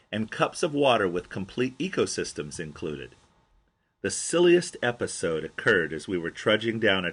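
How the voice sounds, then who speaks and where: A man with a husky voice reading his own memoir aloud as an audiobook, telling it as an expressive storyteller. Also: fairly low pitch. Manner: expressive storyteller, acts out quoted dialogue.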